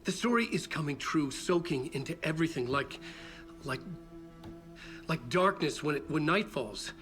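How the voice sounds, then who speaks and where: Male actor as desperate writer, troubled delivery, dramatic game acting, higher-set male voice, clear music underneath. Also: lively intonation, lightly acted. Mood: urgent, troubled. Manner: halting, troubled, repeats words. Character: haunted, desperate male writer escaped from a nightmare. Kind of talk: dramatic video game acting, narration and dialogue.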